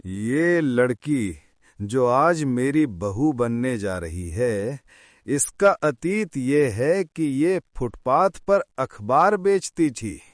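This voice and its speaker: Man acting a slow, proud, declamatory businessman father. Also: medium pitch, big pitch swings, no room sound, clean studio sound. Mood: announcing. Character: proud, tipsy, big-hearted businessman father-in-law. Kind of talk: animated story drama acting, speechmaking.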